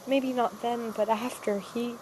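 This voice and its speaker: An actress playing an exhausted, frightened young girl in the desert in an audio drama, speaking in halting, plaintive phrases. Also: on the high side, steady tone, darkish tone, slight background noise, natural voice, poor audio. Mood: traumatized, halting. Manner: halting, faltering, plaintive speech. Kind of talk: cinematic audio drama acting.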